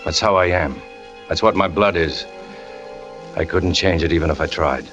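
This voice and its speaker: A jealous, brooding trapeze artist, played by an actor, very deep-voiced and confessing in a low, tight murmur. The sound is a dull old radio broadcast with a dramatic music bed underneath. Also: male voice, normal speed, natural voice. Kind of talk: 1940s radio acting.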